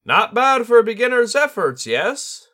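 An ancient bird-spirit sword master speaks in a sharp, piercing squawk, pitched far above an ordinary man's voice, as a male storyteller puts it on. He lifts his questions in a sing-song "yes?" and sounds loud and insistent. It is a cryptic, otherworldly teacher from an audiobook. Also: sing-song, measured pace, heavily acted.

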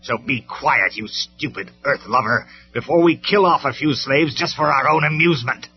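A man's voice: mid-range voice, moderate pace, faint music behind, pitched above the performer's own voice, close to an everyday voice. Man acting as tyrannical robot warlord, derisive, menacing, storybook villain, raised voice, dull recording. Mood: sneering, threatening. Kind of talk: dramatized storybook reading.

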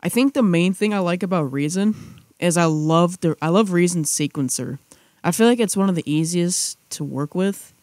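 A man's voice: high voice, normal speed, a little mellow, no echo, clean studio sound. A young man chatting casually as a podcast co-host, rambling with restarts and fillers. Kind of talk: casual chat.

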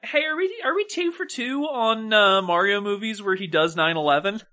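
Bright-voiced podcaster, wry and casual. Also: mid-range voice, moderate pace. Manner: wry, opinionated, sweary. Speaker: podcast co-host. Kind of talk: casual film discussion.

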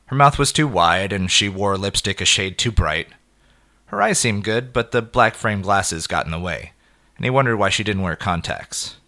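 A man narrating a scripted short story in an expressive, measured way with clipped phrases. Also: sits low.

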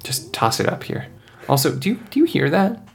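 Man playing a wry, gravelly scavenger rebel. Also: high-pitched, big pitch swings, brisk, pitched above the performer's own voice, full character voice. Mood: exasperated.

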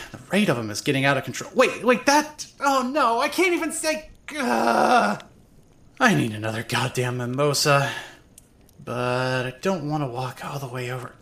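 Woman acting a tipsy, swearing young woman, very animated. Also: deep voice, normal speed, vocal fry, heavily acted. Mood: flustered. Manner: rambling, drunken hesitations, sarcastic swearing. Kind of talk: first-person dramatic narration of a fan audio drama.

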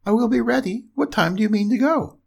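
A man voicing a small, plucky little pig in a fairy tale, squeaky, eager and polite. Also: brisk, vocal fry, a little mellow, heavily acted. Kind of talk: storybook character voice.

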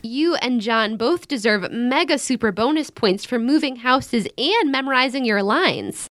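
An actress playing an outspoken, wisecracking young American elder sister in a naturalistic modern audio drama, with blunt, casual banter. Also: female voice, a little high, lively intonation, a little slow, a bit bright, no echo, clean studio sound. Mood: breezy, teasing. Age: young adult.

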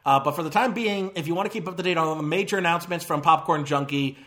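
A man's voice: on the high side, quick pace, fairly bright tone. A man hosting a movie podcast, chatting casually and rambling with lots of fillers and self-corrections. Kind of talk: casual chat.

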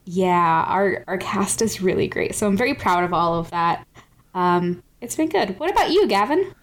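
A woman co-hosting a casual podcast, chatting enthusiastically and laughing often, recorded on a mic with the gain set too high. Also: expressive, moderate pace. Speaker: podcast co-host. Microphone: gain set too high, sounds blown out.

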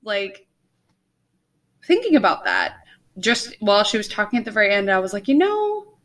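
A woman's voice: mid-range voice, wildly swooping pitch, normal speed, fairly bright tone. A young woman co-hosting a podcast in rambling casual chat, full of fillers, talking over a video call. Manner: rambling, lots of fillers and laughs. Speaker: podcast co-host.

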